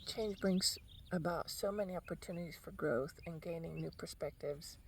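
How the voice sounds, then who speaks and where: A woman born in Argentina, reflecting in a thoughtful, unhurried personal monologue while sitting outdoors on a bench. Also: lowish voice, a little hiss or hum. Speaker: podcast host.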